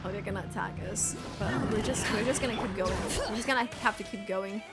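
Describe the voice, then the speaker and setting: A woman's voice: medium pitch, quick pace, loud music behind the voice. A woman doing casual livestream game commentary, chatting to viewers and thinking aloud as she plays. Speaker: game streamer.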